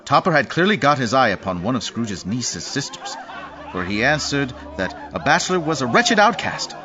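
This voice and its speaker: A man's voice: medium pitch, sing-song, moderate pace, pushed and bright, a faint crowd, slight background noise. A man narrating a dramatised story in a wry, theatrical manner. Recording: dull audio with music behind.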